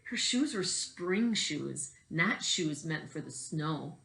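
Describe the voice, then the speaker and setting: A woman's voice: low voice, lively intonation, slow pace, vocal fry, fairly bright tone, rough recording. A woman reading a storybook aloud in the manner of a teacher addressing children.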